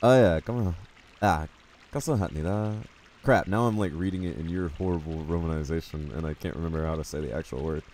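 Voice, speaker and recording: A man's voice: deep voice, a little fast, darkish tone, slight background noise. A man from the United States chatting casually with viewers while he plays a game, rambling and poking fun at himself. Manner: rambling, self-deprecating, reads chat aloud. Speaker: streamer.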